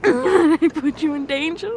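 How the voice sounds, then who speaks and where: A woman performing an emotional ASMR roleplay confession, tearful and halting, repeating words and breaking off. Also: high voice, background noise. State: tearful, crying.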